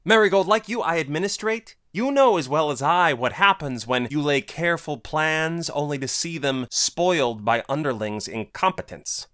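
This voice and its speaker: An actor playing a scheming male court administrator, icy and condescending with a low, menacing delivery. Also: expressive, moderate pace, few pauses, bright, forceful tone. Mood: dismissive. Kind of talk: audio drama acting.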